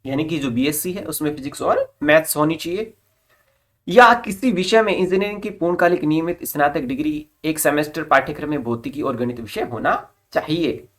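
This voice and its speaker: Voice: mid-range voice, brisk. A presenter with a mid-range voice delivers an informational explainer. The delivery is instructional, reading formal notice wording and then putting it in simpler everyday terms. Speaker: presenter of job recruitment updates.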